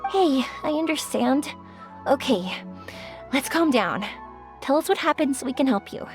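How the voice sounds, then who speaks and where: An actress playing a kind, reassuring young woman in a video game, speaking gently and encouragingly. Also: high-pitched, animated, a little slow. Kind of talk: English video game voice acting. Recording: music behind the voice. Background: game background music.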